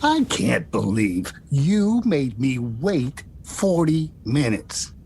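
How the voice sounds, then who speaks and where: Male, actor as stubborn eighty-something grouch, gravelly and animated, grumbling wisecracker for screenplay drama, slight rumble. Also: slow pace. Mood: grumpy. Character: cranky, stubborn, foul-mouthed eighty-two-year-old male patient. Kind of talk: screenplay table read. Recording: audible low rumbling noise.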